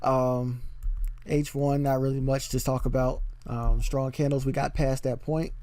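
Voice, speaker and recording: Mellow, flat-toned man explaining charts. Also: medium pitch, unhurried, dry recording. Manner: relaxed, filler-heavy explaining with frequent "um" and "okay?". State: slightly losing his voice. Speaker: forex trading educator. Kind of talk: casual screen-share chart walkthrough.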